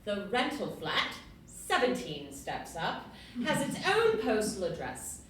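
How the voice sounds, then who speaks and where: A woman acting a fussy, firm Victorian London landlady in a stage audio play, with an emphatic, brisk manner. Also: medium pitch, huge pitch swings, a bit bright, exaggerated voice. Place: live performance in a club room. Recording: echoey room, distant mic, faint noise.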